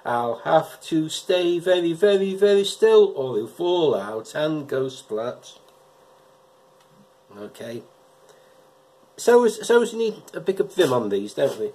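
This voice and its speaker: A man's voice: on the high side, very animated, warm tone. Chatty middle-aged man with a head cold. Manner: chatty, halting with repeated words. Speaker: vlogger reviewing episodes. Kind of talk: casual personal vlog talk. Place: living room indoors, and a car park outdoors at night.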